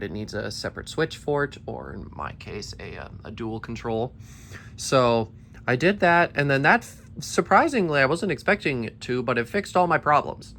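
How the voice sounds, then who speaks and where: A man's voice: mid-range voice, bright, forceful tone, slight background noise. Tired-voiced man rambling about fixing fans. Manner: rambling, eager, with many restarts. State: tired, slightly worn voice. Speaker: ceiling fan collector. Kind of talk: casual vlog update. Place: basement collection room.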